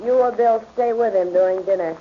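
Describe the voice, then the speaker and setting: An actress playing a shrewd, poised gambling-house boss, smooth, polite and commanding, in 1940s radio acting style. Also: female voice, mid-range voice, a little fast, lightly acted. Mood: smoothly gracious. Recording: dull, noisy old radio recording.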